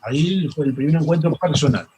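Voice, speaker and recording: An older Argentine man with a Rioplatense accent, a psychoanalyst telling stories in an interview, halting with many fillers and restarts. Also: on the high side, warm tone, slight background noise, low-quality recording. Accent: Rioplatense Spanish. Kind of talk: reflective interview storytelling.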